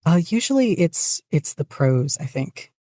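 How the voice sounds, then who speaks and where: Interview guest, mellow warm tone, middling pitch, casual chat, hesitant, self-deprecating, close dry recording. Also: very animated, a little slow, no echo. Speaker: podcast guest, fan fiction writer and podficcer.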